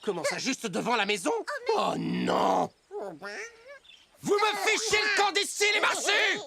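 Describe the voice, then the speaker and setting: A grumbling cartoon dad with a slow, gravelly voice that swings widely in pitch. He scolds in a gruff way, and music plays behind him. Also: big pitch swings, over a noisy crowd, full character voice. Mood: exasperated. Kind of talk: French cartoon dubbing.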